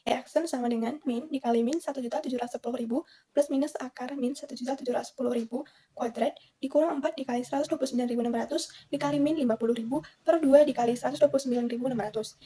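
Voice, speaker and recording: A young woman from Indonesia works through a formula, dictating long strings of numbers without a break. Her voice sits high, with a mellow, husky tone. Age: young adult. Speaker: student presenter. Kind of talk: reading a math solution.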